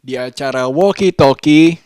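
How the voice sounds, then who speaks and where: A man from Indonesia talks casually to his vlog, thinking aloud in a reflective, halting way as he searches for words. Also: a little high, a little mellow, clear, resonant tone, dry recording, clean studio sound. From Sukabumi, West Java, Indonesia. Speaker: vlogger, host of his own daily vlog.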